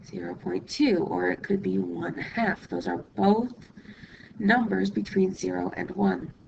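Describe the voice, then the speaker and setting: Hoarse, gravelly woman math teacher. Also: mid-range voice, fairly even intonation, muffled recording, noisy background. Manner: patient step-by-step explaining, asks "okay?"; writes while talking, pausing mid-sentence. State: hoarse, losing her voice from illness. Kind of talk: recorded classroom lesson.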